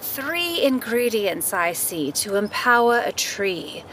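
An actress playing a theatrical fortune teller who speaks in lofty, sweet, singsong rhyme in a fantasy audio drama. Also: female voice, a little high, big pitch swings, takes it slowly, pushed and bright, slight background noise, exaggerated voice. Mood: urgent prophetic.

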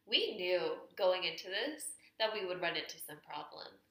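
A young woman co-hosting a podcast in casual chat, talkative and quick to laugh. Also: on the low side, big pitch swings, a bit bright. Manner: laughs easily, chatty with many fillers. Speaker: podcast co-host. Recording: echoey room.

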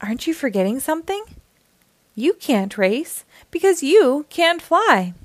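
A woman puts on a cocky male monster machine rival for a kids' story. He is brash and taunting, with a raised pitch and a dry sound. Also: very animated, a little slow, no echo.

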